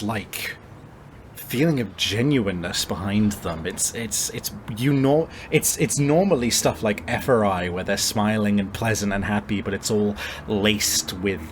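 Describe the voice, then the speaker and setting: Low-voiced male game master, halting narration. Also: a little hiss or hum. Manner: halting, conversational, frequent searching pauses. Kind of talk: improvised tabletop game narration.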